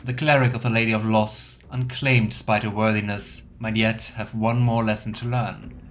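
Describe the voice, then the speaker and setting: Let's play host: low male voice, gaming commentary and read-aloud game text, faint music underneath. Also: flat delivery, moderate pace. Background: soft game music.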